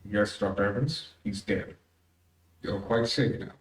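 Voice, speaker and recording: An actor playing a calm, knowing, commanding invisible crime fighter in an old-time radio melodrama. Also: male voice, fairly low pitch, a little slow, vocal fry. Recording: echoey room.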